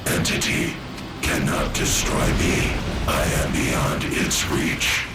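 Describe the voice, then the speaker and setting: A man's voice: very deep voice, takes it slowly, heavy background noise, full character voice. A man voicing a menacing ancient machine intelligence in a video game, cold, imperious and declaiming, with distorted machine processing. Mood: cold menace.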